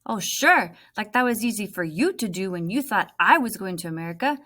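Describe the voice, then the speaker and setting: A woman's voice: mid-range voice, very animated, brisk, fairly bright tone. Woman reading as frustrated, snappy storybook boy. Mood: angry, mean. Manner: impatient, insistent, snapping.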